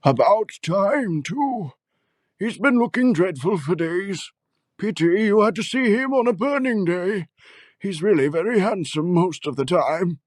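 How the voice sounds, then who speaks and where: An old wizard headmaster played by a man with a high, dry-sounding voice. He is kindly and calm, explaining things in an unhurried, scholarly manner, as in a fantasy audio drama. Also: no room sound. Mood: gently explaining. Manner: unhurried, scholarly, gently probing.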